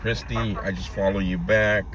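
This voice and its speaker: A man's voice: lowish voice, fairly even intonation, moderate pace, background noise. A man hosting a live stream, rambling in easygoing casual chat and talking to viewers by name.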